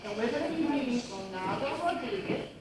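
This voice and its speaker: A woman's voice: on the high side, expressive, brisk. A woman introducing a children's puppet skit, explaining things simply. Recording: echoey, distant, noisy room.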